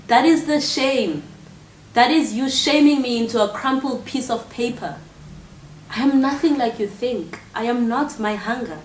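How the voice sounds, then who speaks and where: A woman's voice: on the high side, a little mellow. An actress playing a proud, angry, impoverished woman who refuses pity, in an intense theatrical monologue. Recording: echoey room with faint noise.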